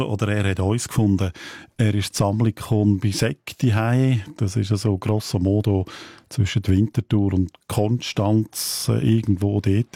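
Swiss German man, radio quiz host, low husky voice, chatty and joking, rambling a little. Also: dark tone, no echo. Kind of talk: casual radio quiz chat.

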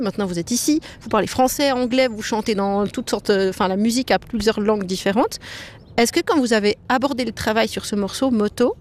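A woman hosting a podcast interview, framing long questions with a few fillers. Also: a little high. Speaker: podcast host.

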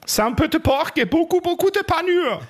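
A man's voice: very high voice, lively intonation, normal speed. A man playing a pompous, scolding sixteenth-century imperial cook with an exaggerated German accent in a radio comedy sketch. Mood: bossy, fervent. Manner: pompous, florid insults peppered with German words.

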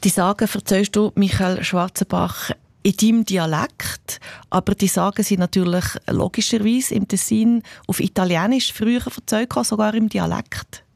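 A woman's voice: medium pitch, steady tone, normal speed, creaky, darkish tone, no echo, clean studio sound. A woman radio interviewer with a Swiss German accent, asking conversational questions.

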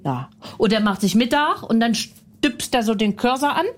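A brash woman with a fairly high voice rants and mocks in blunt comedic banter. She talks in a loud, pushy way and laughs often between her jabs. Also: lively intonation, normal speed.

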